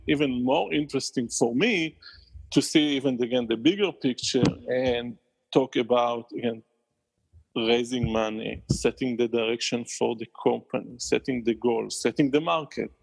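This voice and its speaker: A man with an Israeli accent, a company founder, answering questions in a podcast interview with thoughtful, halting replies and frequent laughs. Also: medium pitch, vocal fry, breathy edge, poor audio. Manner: thoughtful, many restarts, laughs often.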